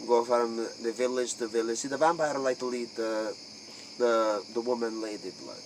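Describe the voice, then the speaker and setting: A man playing an ancient Transylvanian vampire, speaking terse, halting broken English and repeating his phrases in a mock interview. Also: medium pitch, natural voice. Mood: solemn, boastful. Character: ancient male Transylvanian vampire of an elite clan. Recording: noisy outdoor ambience with crickets.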